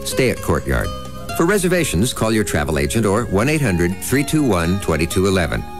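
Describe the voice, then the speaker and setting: Low, lively male ad announcer. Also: fairly quick. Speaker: commercial announcer. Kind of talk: scripted ad voice-over. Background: guitar music.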